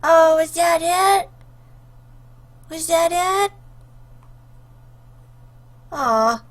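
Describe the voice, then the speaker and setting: A woman's voice: very high-pitched, expressive, extremely slow. A woman hosting a game playthrough, commenting casually and playfully and reading lines aloud. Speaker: gaming video host.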